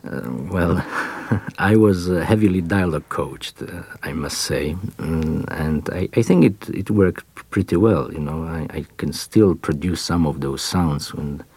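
A man with a Polish accent speaks in an extremely low voice, slow and unhurried. He answers interview questions haltingly, full of fillers and false starts. The sound is dry and close. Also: a little mellow, husky, no room sound. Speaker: interview guest.

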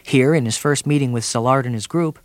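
A man delivering a scripted broadcast review of a TV movie in a measured, dryly wry way. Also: medium pitch, dry recording. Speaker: TV critic.

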